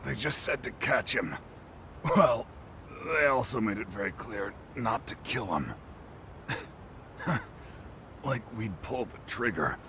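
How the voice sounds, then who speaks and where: An actor playing a crude, hot-tempered low-rank gang thug in a dubbed video game, profane and sneering, with a gravelly voice. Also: male voice, lively intonation, slow pace, long pauses, sharp tone, slight background noise, full character voice. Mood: resigned. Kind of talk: English dub video game cutscene acting.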